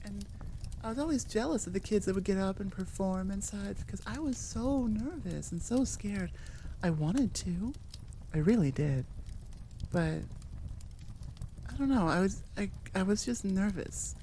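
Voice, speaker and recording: A woman's voice: medium pitch, lively intonation, unhurried, mellow, a little hiss or hum. A woman chatting casually and unscripted to her listeners as an audio creator, rambling, candid and a little self-deprecating. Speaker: YouTube audio roleplay creator.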